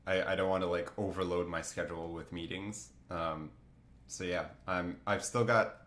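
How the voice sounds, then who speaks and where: A man's voice: deep voice, fairly even intonation, moderate pace, fairly bright tone, echoey room. A man chatting casually while drawing on a livestream, rambling with lots of fillers and restarts, a bit sniffly from a cold. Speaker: artist streaming a drawing session.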